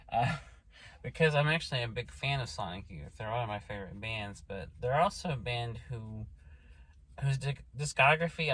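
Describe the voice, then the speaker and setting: A hoarse man with an Oklahoma accent, talking casually to his camera, rambling with lots of fillers and restarts. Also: lowish voice, a bit bright. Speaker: vlogger.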